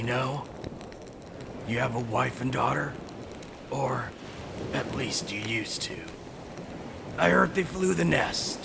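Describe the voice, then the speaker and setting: A man's voice: medium pitch, vocal fry, very noisy. A man playing a mad ship captain in an audio drama, theatrically jovial with menace underneath, pompous and falsely chummy.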